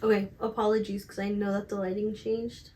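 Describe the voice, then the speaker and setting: A young woman with a slightly raspy, medium-pitched voice talks as a vlogger. Her delivery is casual and confessional, candid and slangy, with emphatic stress on her points. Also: flat delivery, brisk, dark tone, a little hiss or hum. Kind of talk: casual confessional chat to camera.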